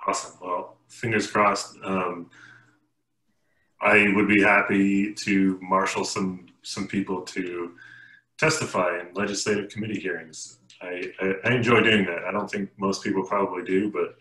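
A man moderates an online panel in a low, forceful voice. He phrases things haltingly and tentatively. The room rings slightly around him over the video call. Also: level tone. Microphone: headset. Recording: roomy, echoey video-call audio.

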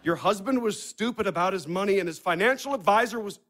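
An actor playing a blunt, sardonic adopted son in an audio play, dry and controlling in manner. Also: male voice, high-pitched, expressive, fast talker, fairly bright tone. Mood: blunt, businesslike.

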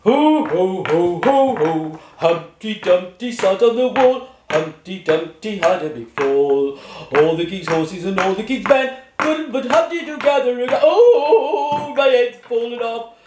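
A woman's voice: fairly high pitch, animated, unhurried, hardly any pauses, background noise. A woman voicing a jolly, bumbling nursery-rhyme egg with a jovial chant. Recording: echoey room.

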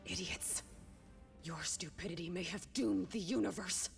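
An alien villainess commanding troops in a game. Her voice runs fairly low, cold and imperious, theatrically contemptuous, over clear background music. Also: unhurried. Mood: contemptuous threat. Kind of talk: animated video game cutscene acting.

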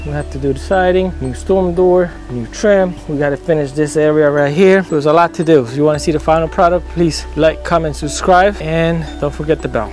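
A man, a roofing company owner giving an energetic, informal vlog walkthrough on a rooftop. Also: on the high side, a little fast, few pauses, soft background music. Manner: energetic, informal, demonstrating with tools. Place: outdoors on a residential rooftop. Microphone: lapel.

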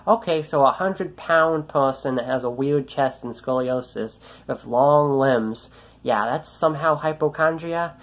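A man's voice: medium pitch, measured pace. A young man ranting frustrated into a phone held at arm's length in a small room, his voice light and youthful, rambling, swearing and restarting his sentences. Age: young adult. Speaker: vlogger. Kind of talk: ranting selfie vlog. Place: small room indoors, then outdoors on a road.

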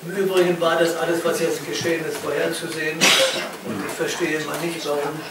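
An older man hosting a literary salon, speaking informally and a little haltingly to his guests. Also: on the high side, level tone, distant mic, very noisy. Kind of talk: informal introduction and explanation to an audience. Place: crowded living room, indoors. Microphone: distant camera microphone. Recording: echoey crowded room, picked up from afar.

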